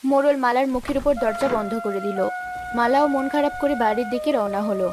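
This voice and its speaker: A woman's voice: fairly high pitch, fast, over loud music. A woman narrating a scripted cartoon story.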